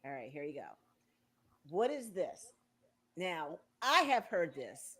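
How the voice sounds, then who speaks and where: A woman's voice: lowish voice, big pitch swings, slow pace, a bit bright, breathy edge. A woman in her sixties hosting a casual talk show, quizzing her guests in a playful, game-like way. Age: 60s. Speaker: radio show host.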